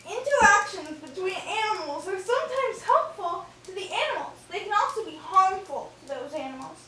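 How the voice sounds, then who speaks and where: Puppet-show schoolteacher lady, played by a woman: extremely high, animated, question-prompting, captured far from the mic in an echoey room. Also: normal speed. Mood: instructive. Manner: praising, question-prompting classroom delivery. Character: chirpy puppet schoolteacher lady leading a science class. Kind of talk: amateur scripted puppet show. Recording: echoey room, distant mic, faint noise.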